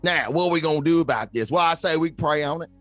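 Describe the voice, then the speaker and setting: A man's voice: fairly high pitch, quick pace, fairly bright tone, no echo, soft background music, natural voice. A man playing a folksy, ranting backwoods preacher with a Southern American accent, giving a fiery parody sermon. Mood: fervent, rallying.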